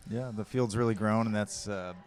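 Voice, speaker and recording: A man's voice: fairly low pitch, fairly even intonation, normal speed, a little mellow. A man giving casual, matter-of-fact live race updates as an official and co-commentator.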